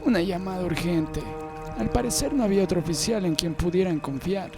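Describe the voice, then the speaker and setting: A man's voice: high voice, fairly quick, pitched above the performer's own voice. A man voicing a tall, menacing, condescending Irish police sergeant in an audiobook, with music behind him. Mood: mock polite. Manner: condescending, bullying.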